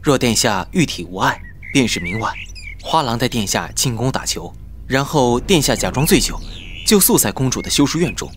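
A man's voice: mid-range voice, sing-song, moderate pace, a bit bright, slight background noise. A man playing a discreet, cautious court envoy in a historical audio drama, formal and careful. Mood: grave, urgent.

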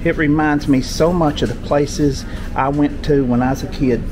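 A man's voice: on the high side, steady tone, normal speed, background noise. Folksy man vlogging from a car. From Appalachian Kentucky. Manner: folksy, chatty, addresses viewers as folks. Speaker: travel vlogger. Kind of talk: casual travel vlog talk. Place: in a car and a country store.

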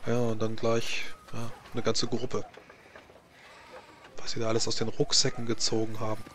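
Even-toned man, halting in-character chat. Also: medium pitch. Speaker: tabletop roleplayer in character. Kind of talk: improvised in-character fantasy roleplay chat.

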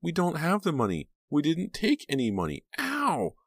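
A terrified young woman, played by a male narrator in a thriller audiobook. The voice is pushed high and breathy, pleading in panic, and recorded very dry. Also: wildly swooping pitch, normal speed, a little mellow, no echo, heavily acted. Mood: desperate.

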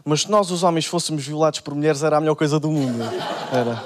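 Young European Portuguese stand-up comic. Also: male voice, a little high, quick pace. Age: young adult. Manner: acts out quoted characters in shifted voices. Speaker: stand-up comedian. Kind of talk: stand-up routine. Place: TV studio stage. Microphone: handheld mic.

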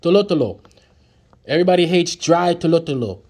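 A man's voice: fairly high pitch, animated, a little fast, a little mellow. A man, chatting through flashcards as he teaches words, repeating each one and joking with viewers. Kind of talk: casual flashcard lesson. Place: indoors at a table, off camera.

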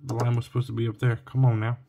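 A man's voice: mid-range voice, level tone, racing, mellow, a little hiss or hum. A young man from Oregon scratching lottery tickets at home late at night, talking in a tired, cracking voice as he reads each symbol aloud. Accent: Oregon US English. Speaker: scratch-ticket channel host. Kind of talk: casual narration while scratching tickets. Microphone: phone or camera looking down at the table. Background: coin scratching and clicks.

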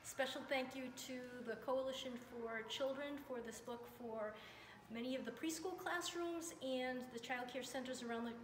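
A woman makes an informal announcement in a slightly high voice. She is far from the mic in a reverberant, noisy room. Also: normal speed. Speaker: presenter giving thanks.

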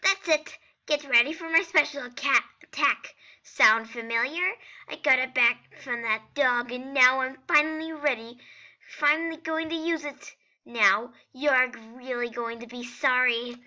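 Casting: excitable, theatrical skeleton brother played by a woman, high, sharp voice, earnest and emphatic, comic dub. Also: measured pace, highs cut off, full character voice. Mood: defiant. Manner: dramatic, pleading, emphatic. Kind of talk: amateur comic dub acting.

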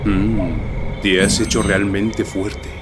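A man's voice: lowish voice, steady tone, slow. A man with a Castilian Spanish accent voicing a stern, powerful, menacing villain in a fighting game dub, imperious and threatening. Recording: loud music behind. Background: music.

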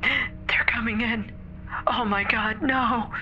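Frightened, bright-voiced woman emergency caller acted out. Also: medium pitch, fairly even intonation, highs cut off, soft background music. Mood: panicked, crying. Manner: halting, fearful, breathy stammering. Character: terrified woman caller alone in a snowbound house. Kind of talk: horror audio drama, dramatic reading.

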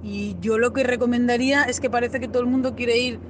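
A woman's voice: on the high side, fairly even intonation, fast talker. A woman from Spain with a Spanish accent, a guest chatting upbeat and easygoing in a podcast interview. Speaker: guest traveler. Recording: noticeable background noise.

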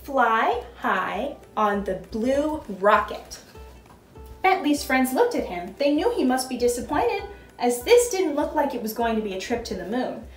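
High, very animated woman reading a storybook. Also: brisk, soft background music. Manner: expressive, instructive, addressing small children. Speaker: costumed storytime host reading as a princess. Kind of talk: animated children's storybook narration with questions to viewers. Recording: echoey room.

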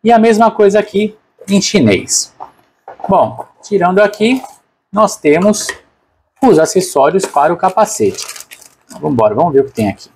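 A man's voice: sits high, very animated, normal speed, long pauses, a little mellow, slight background noise. A man with a Brazilian Portuguese accent narrating a product unboxing casually and unscripted, informally thinking aloud as a reviewer.

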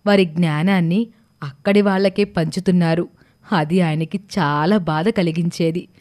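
Grave, kindly schoolmaster's widow for a cartoon, played by a woman: lowish, measured, earnest. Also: dark tone, natural voice. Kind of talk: cartoon story voice acting.

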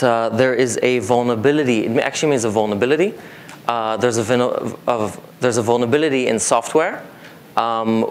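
Male tech speaker, thirties, from Israel; mid-pitched, halting explanatory delivery, faint rumbling room noise. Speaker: conference speaker, cybersecurity company co-founder. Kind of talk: informal technical presentation. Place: indoor conference breakout room with seated audience.

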